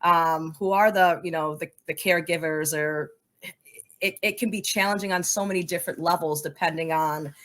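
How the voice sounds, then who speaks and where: A woman's voice: lowish voice, steady tone, moderate pace, a bit bright. A woman speaking conversationally as an interview guest, explaining things in an earnest way. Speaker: podcast guest, soccer coaches' advocate.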